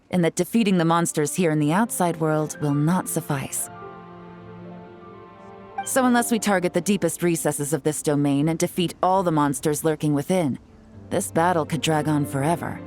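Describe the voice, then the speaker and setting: A woman playing a cool, shrewd young intelligence agent in a video game, speaking in a measured, knowing, composed way. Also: deep voice, a little mellow. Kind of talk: video game voice acting, story exposition.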